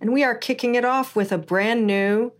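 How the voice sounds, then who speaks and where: A woman's voice: a little high, steady tone, moderate pace. A woman from Hawaii hosting a podcast interview in an upbeat, conversational way. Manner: upbeat, conversational, some restarts. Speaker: podcast host. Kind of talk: casual interview chat.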